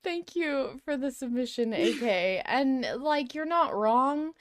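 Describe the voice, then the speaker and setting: A woman's voice: high voice, animated, slow. A woman hosting a podcast, chatting casually and rephrasing as she goes. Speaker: podcast host. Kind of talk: casual discussion of a fiction podcast.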